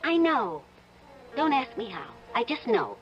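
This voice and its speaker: An earnest, anxious judge's wife. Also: female voice, fairly high pitch, big pitch swings, dull audio. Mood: distressed conviction. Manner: earnest, halting emphasis. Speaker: actress. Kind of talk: old-time radio drama acting.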